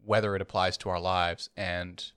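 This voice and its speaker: A man in his thirties co-hosting a podcast, halting and agreeable in casual chat. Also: low-pitched, level tone, fairly bright tone, clear, resonant tone, no room sound, clean studio sound. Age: 30s. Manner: halting, repeats words, agreeable. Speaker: podcast co-host.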